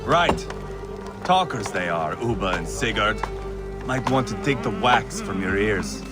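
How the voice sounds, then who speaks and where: An actor playing a crude, boastful Viking conqueror in a game cutscene, with a sardonic swagger. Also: male voice, lowish voice, lively intonation, a little slow, over loud music. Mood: sardonic boasting. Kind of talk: video game cutscene acting.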